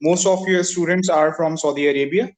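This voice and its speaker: A man's voice: on the high side, steady tone, a little fast. A man hosting a casual video-call conversation to practise English, asking short, careful questions. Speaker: host, English learner.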